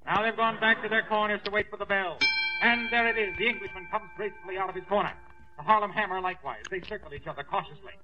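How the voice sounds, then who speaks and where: High-pitched boxing announcer, played by a man. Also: quick pace, over music, natural voice. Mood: tense, hushed. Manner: projected, formal. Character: earnest boxing ring announcer and blow-by-blow commentator. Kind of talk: 1940s radio sports commentary. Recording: dull old radio recording.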